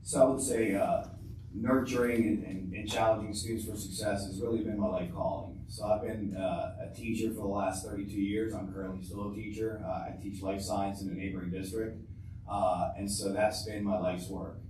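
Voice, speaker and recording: A man in his fifties answering as a school board candidate, halting with many uhs and repeated words. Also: fairly low pitch, steady tone. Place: school board meeting room. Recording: very echoey room from far off, noisy.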